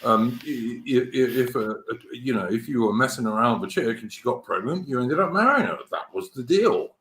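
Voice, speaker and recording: An English man in his fifties hosting a casual political chat, halting, with many fillers and restarts. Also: mid-range voice, lively intonation, a bit bright, echoey room, poor audio. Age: 50s. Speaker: podcast host.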